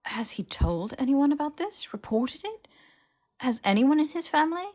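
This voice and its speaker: A woman voicing a clever, anxious young woman in an audiobook, brisk and incredulous as she lectures a friend. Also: sits high, lively intonation, darkish tone, pitched above the performer's own voice. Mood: concerned, probing. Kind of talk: dramatized audiobook dialogue.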